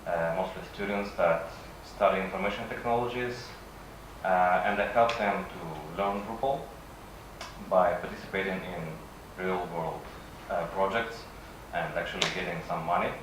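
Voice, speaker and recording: A man with a Russian accent, a native Russian speaker, giving a halting conference presentation with frequent pauses. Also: deep voice. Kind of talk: conference presentation, semi-improvised. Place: conference session room. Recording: echoey room from far off, faint noise.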